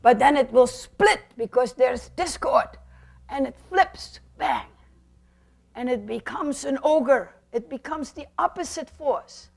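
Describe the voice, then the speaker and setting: Woman explaining shadow puppets like a storyteller, high creaky voice, emphatic, asks the audience questions. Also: animated, slow. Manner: theatrical, emphatic, with rhetorical questions.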